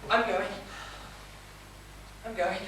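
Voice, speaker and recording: A man's voice: high voice, steady tone, very slow, bright, forceful tone, exaggerated voice. A man playing a timid, naive, fragile nineteen-year-old son in a stage comedy, nervous, pleading and obedient. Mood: meek, earnest. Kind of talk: live comedic stage play acting. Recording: echoey theatre stage, distant mic, faint noise.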